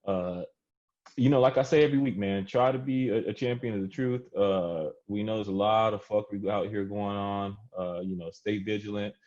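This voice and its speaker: Low-voiced male co-host for an informal podcast, slangy conversational delivery, dulled lo-fi sound. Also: normal speed, darkish tone. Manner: slangy, peppers talk with "you know". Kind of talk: casual podcast chat. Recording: dull, lo-fi audio.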